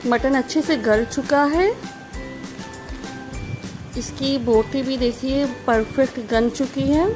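A woman host giving a friendly step-by-step recipe voice-over, repeating emphatic praise for the dish. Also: sits high. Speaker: cooking channel host. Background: background music.

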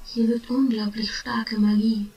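A woman playing a wary fantasy adventurer with a hushed, breathy, whispery voice in an audio drama. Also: a little high, flat delivery, unhurried. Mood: ominous. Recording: echoey, distant from the microphone.

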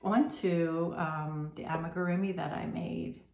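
Chatty woman with a gravelly voice. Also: on the low side, steady tone, a little slow, darkish tone. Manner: rambling, chatty, many ums and self-corrections. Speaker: crochet podcast host. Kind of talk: casual chat to camera. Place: home room with red striped wallpaper, indoors. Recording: roomy echo.

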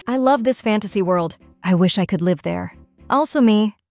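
A woman reads the lead part in a comic dub at a middle pitch. Her delivery is playful and lively, with soft music behind her. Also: normal speed. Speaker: comic dub narrator and voice actor.

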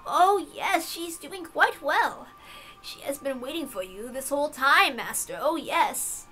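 A kindly old maidservant, put on by a woman in a visual novel. The voice is high and slow, drawing words out with deferential, knowing gentleness. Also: expressive, soft background music, exaggerated voice, poor audio.

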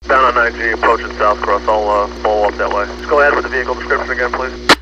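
A man's voice: mid-range voice, steady tone, quick pace. A man calling in as a police officer from a patrol car over police radio. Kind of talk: radio check-ins. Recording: dull distant scanner audio, loud noise.

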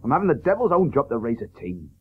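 Brash cricketer for period radio play: cocksure, husky, very animated, on dulled old tape. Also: male voice, mid-range voice, quick pace, phone-quality sound. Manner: blustering, cocksure. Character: brash, headstrong Victorian gentleman cricketer, young man.

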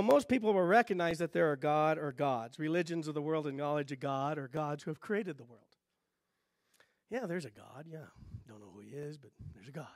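A middle-aged man preaching a sermon as pastor in a church, teaching in a lively way and gesturing at the pulpit. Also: high-pitched, gravelly, dry recording, studio quality. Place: church sanctuary stage.

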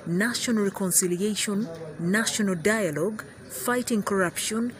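A woman with a Ugandan accent reading a scripted news report in an even, informative way. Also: medium pitch, normal speed, background noise.

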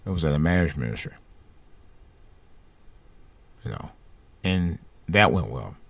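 A man talking casually about his own life, rambling and restarting phrases, with a light voice that has little bass in it. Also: lively intonation, fairly quick, creaky, a bit bright, no echo. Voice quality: light voice without much bass. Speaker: podcast host. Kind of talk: casual personal monologue.

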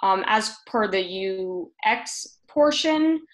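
Young woman on a video call doing a team progress report, medium pitch, kinda halting and earnest. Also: lively intonation. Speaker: student developer presenting a team update. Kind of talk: work presentation over a video call. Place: room at home, indoors. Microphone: computer mic on a video call.

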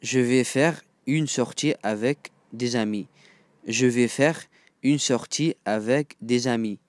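A man with an Egyptian accent teaching phrases, repeating each one slowly and then glossing it in quick, casual asides. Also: mid-range voice, no echo. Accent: Egyptian Arabic. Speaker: language teacher. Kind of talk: phrase-by-phrase language lesson.

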